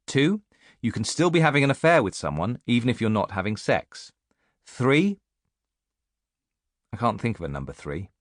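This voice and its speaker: A man's voice: fairly low pitch, no echo, clean studio sound. A man reading an audiobook as a reflective, self-mocking woman in her late thirties, musing and confiding as in a letter. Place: dry recording studio.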